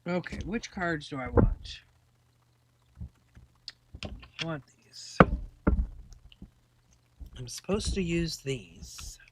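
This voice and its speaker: A woman's voice: low voice, expressive, very slow, long pauses, darkish tone, slight background noise, rough recording. A woman doing a casual live-stream tarot reading, chatty and rambling with many fillers. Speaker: tarot reader.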